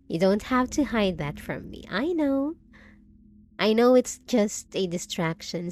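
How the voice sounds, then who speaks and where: A woman's voice: medium pitch, darkish tone, a little hiss or hum. A woman voicing a possessive, unhinged undead bride in a roleplay audio drama, speaking with sweet pet names, mock pity and clingy menace. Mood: cloying, menacing.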